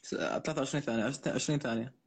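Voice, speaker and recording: A man hosts a stream in a relaxed way, dropping short comments. His voice is husky and sits at a middle pitch. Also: very fast. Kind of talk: casual chat.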